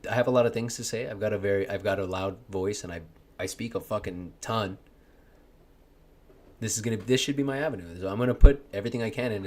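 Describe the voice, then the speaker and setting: Low-voiced young man, rambling and earnest. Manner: rambling, earnest, swears often, laughs a lot. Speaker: content creator. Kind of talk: casual chat.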